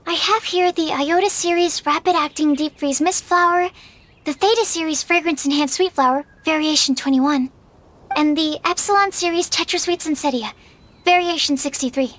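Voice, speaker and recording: Shy, high-voiced young alchemist girl. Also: moderate pace, a bit bright. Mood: nervous, polite. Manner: hesitant, timid, earnest. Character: shy, polite young female alchemist running a stall. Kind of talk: video game character voice acting.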